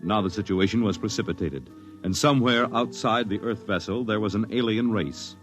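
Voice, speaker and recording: An American man narrating a 1950s radio drama in a portentous, measured, declamatory style. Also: lowish voice. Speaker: announcer and narrator. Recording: vintage radio recording over dramatic music. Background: loud dramatic music.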